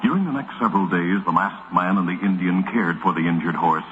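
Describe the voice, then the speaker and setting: A man's voice: low-pitched, fairly quick, fairly bright tone, a little hiss or hum. An American man narrating an old radio western in a dramatic, solemn 1940s storytelling style. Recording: muffled vintage radio recording.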